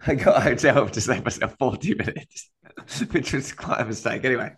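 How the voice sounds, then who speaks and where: A man's voice: medium pitch, fairly quick, husky. A man co-hosting a comedy podcast, telling a rambling travel anecdote in casual chat and laughing often.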